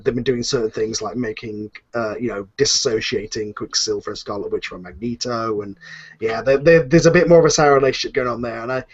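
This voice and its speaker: A man's voice: on the low side, husky, lo-fi sound. A man hosting a casual, unscripted panel discussion, talking in a rambling, opinionated way. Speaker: host and audio drama series creator.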